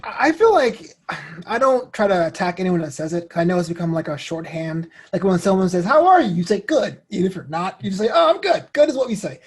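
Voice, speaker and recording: Husky-voiced man in casual conversation. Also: sits high, very animated, fast. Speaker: interview guest, comedian and DJ. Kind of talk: casual reflective interview conversation.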